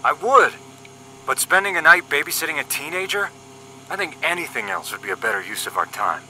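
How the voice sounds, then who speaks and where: A man's voice: fairly high pitch, lively intonation, a little fast, bright, forceful tone, slight background noise, low-quality recording. A man acting as a wisecracking young paramilitary agent in a scripted audio drama, cocky and flippant in his banter. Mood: grumbling.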